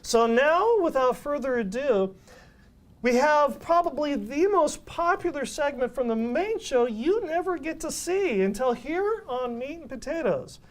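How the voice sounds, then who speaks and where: Lively playful show host. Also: male voice, extremely high pitch, a little slow. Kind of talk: interview and show introduction.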